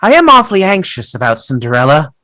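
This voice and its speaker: A woman's voice: low voice, very animated, fast, bright, forceful tone, exaggerated voice. A woman playing an anxious, wistful young boy in an audio play.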